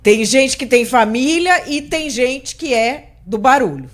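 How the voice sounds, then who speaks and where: A woman's voice: sits high, expressive, measured pace. A middle-aged Brazilian woman hosting a political interview, speaking bluntly and indignantly in a conversational tone. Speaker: interview host. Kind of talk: political talk show interview.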